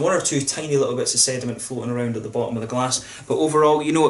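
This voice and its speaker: A man talks quickly and casually to camera while reviewing a beer at home. His voice sits at a middle pitch, and he fills the talk with fillers and restarts as he goes. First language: English. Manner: chatty, informal, many fillers and restarts.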